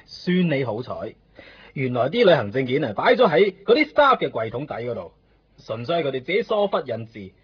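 A man's voice: mid-range voice, big pitch swings, a little fast, breathy edge. A man playing an aggressive, blustering police officer in a radio drama, with a Hong Kong Cantonese accent. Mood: grudging, calmer. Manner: blustering, confrontational, emphatic. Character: hot-headed, aggressive male police officer. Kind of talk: 1990s radio drama acting. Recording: dull, band-limited radio recording.

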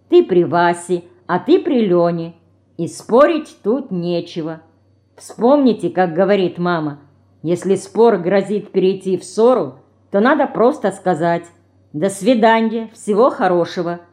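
A woman voicing a thoughtful, good-natured teenage boy with a measured, conciliatory manner in a storybook reading. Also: mid-range voice, a little mellow, close to an everyday voice.

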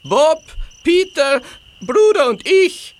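Woman playing an earnest man in halting broken German. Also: sits high, sing-song, heavily acted. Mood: earnest, urgent. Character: earnest Native man speaking broken German, eager to help. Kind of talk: audio drama acting.

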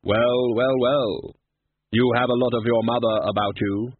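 A man voicing a towering, haughty, immortal Death in a slow, measured, solemn way, on a tinny lo-fi recording. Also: mid-range voice, fairly even intonation, breathy edge, dry recording. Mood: grave.

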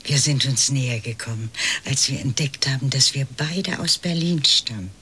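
An actress playing a polite, hesitant elderly lady, a detective's client in a radio crime drama, speaking in measured, breathy phrases. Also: rumbling low, a bit bright, full character voice. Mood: hesitant, explanatory. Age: 60s or older.

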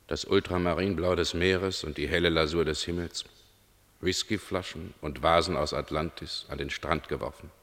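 A man's voice: very deep voice, fairly even intonation, normal speed, a bit bright. An actor playing a lyrical male voice in a radio drama, reciting poetic images in fragments through a long hollow reverb. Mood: dreamy.

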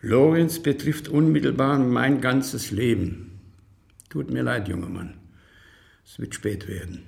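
An actor playing an embittered old communist man under questioning, reflective and wry, with a gravelly voice, in a radio drama. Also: mid-range voice, unhurried, darkish tone. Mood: wistful, weary. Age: older.

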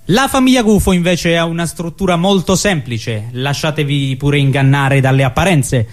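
A man's voice: a little high, normal speed. A man performing scripted absurdist theatre in a mock-solemn, declamatory way. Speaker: stage performer.

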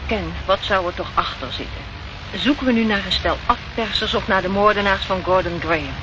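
A woman's voice: mid-range voice, a little fast, lightly acted. An actress playing a detective's eager, inquisitive female companion in a Dutch radio play, chatty and full of questions. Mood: curious. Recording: noisy, muffled old radio recording.

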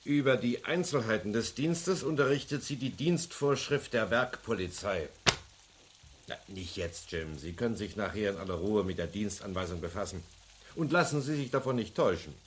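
An actor playing a genial but commanding veteran police chief in a German radio crime drama, measured and authoritative. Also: male voice, on the low side, animated, natural voice. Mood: businesslike.

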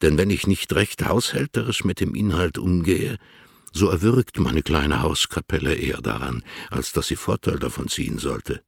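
Very deep, gravelly, dignified older poet. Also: male voice, no echo, studio quality, heavily acted. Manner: formal, measured, courtly. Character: dignified, affectionate older male poet answering letters. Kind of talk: dramatized letter reading in a radio play.

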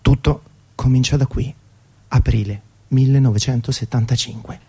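A man's voice: medium pitch, steady tone, moderate pace, warm tone, a little hiss or hum. A man telling a scripted story as the host and narrator of a radio programme.

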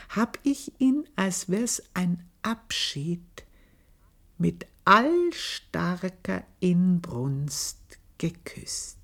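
An Austrian actress playing a proud, jealous village-born maidservant, telling her story in a confiding, earthy radio monologue with drawn-out emphasis. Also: lowish voice, darkish tone, exaggerated voice. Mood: yearning, confiding. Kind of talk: dramatic first-person radio monologue, literary storytelling.

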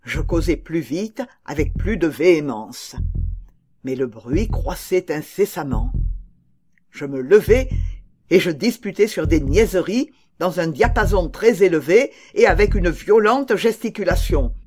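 A woman reading a gothic horror story as a guilt-maddened murderer, in a dramatic, deliberate manner. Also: fairly low pitch, expressive, dark tone, breathy edge. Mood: uneasy, tense. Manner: dramatic, deliberate, building to frenzied cries.

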